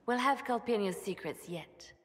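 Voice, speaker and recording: An actress playing a shrewd, composed female spymaster in a video game, measured and quietly resolute. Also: medium pitch, lightly acted. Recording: long reverb of a stone hall.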